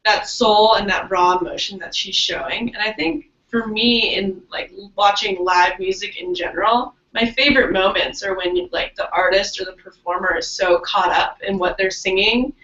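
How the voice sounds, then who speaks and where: A woman's voice: mid-range voice, steady tone, normal speed, hardly any pauses, a bit bright. A woman in a casual group discussion who talks thoughtfully and often says "like". She sounds far from the mic, in an echoey room. The audio is dull and lo-fi. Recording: distant, roomy, muffled audio.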